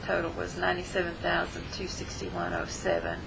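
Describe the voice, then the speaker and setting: A woman delivers a treasurer's report in a plain, matter-of-fact way, reading off figures one after another. Her voice is lowish and heavily gravelly, with scratchy fry on many words. Steady noise sits behind her. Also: a little fast. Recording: noticeable background noise.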